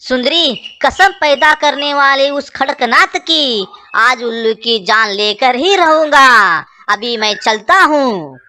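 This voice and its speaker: A woman's voice: sits high, animated, slow pace, bright, forceful tone, a little hiss or hum, heavily acted. A woman voicing a boastful, melodramatic young male crow in an emphatic children's cartoon style. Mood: defiant, vengeful. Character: male crow, Kalu, boastful, dramatic young son and husband. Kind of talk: children's cartoon story acting.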